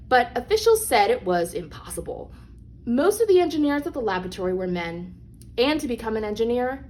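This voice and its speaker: A woman with a fairly high voice reads a picture book aloud to children. She phrases things deliberately and leans on key words for young listeners. There is some noise in the recording behind her. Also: darkish tone. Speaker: read-aloud storyteller. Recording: clearly noisy background.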